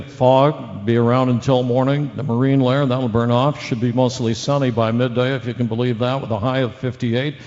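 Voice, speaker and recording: A man's voice: mid-range voice, darkish tone, natural voice. Actor as bumbling local radio newsman, muffled and reverberant. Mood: chatty, upbeat. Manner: halting, rambling, folksy delivery with awkward asides. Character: bumbling, chatty male local radio news anchor. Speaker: radio news and weather reader. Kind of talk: mock local radio news bulletin.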